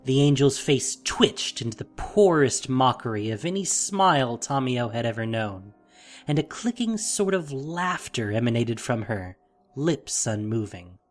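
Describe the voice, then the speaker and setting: A narrator reading scripted fantasy drama in an expressive, deliberate storytelling manner. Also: medium pitch. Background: faint music.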